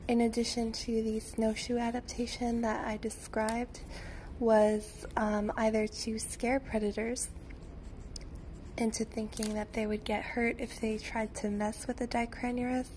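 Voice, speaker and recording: A young woman speaking in a soft, hushed voice, thinking aloud in an unhurried, informal way as she gives an ASMR drawing tutorial. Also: on the high side, little pitch movement. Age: young adult. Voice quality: soft, hushed, sometimes slipping into whisper. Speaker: illustrator and paleontology student demonstrating drawing. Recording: clear background noise, pencil scratching on paper. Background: pencil and paper sounds, room noise.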